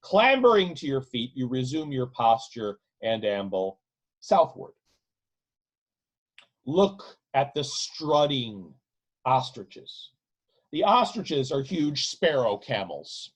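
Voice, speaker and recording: Slow, deliberate man reading literary fiction. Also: mid-range voice, big pitch swings. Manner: deliberate, emphatic, repeats phrases. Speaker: author reading own novel. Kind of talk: dramatic literary reading aloud.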